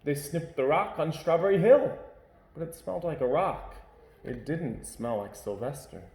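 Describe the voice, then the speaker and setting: A man reads a children's picture book aloud at home, shaping each line expressively. His voice is mellow and dark-toned, and the room adds a noticeable echo. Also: fairly high pitch, normal speed, a little hiss or hum. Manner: expressive, varied reading. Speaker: storyteller. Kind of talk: children's storybook read-aloud.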